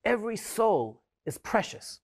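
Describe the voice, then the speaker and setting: A man in his fifties, a Torah scribe, talking in an animated, storytelling way with humorous asides. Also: high-pitched, unhurried, creaky. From Israel. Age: 50s. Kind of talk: interview soundbite.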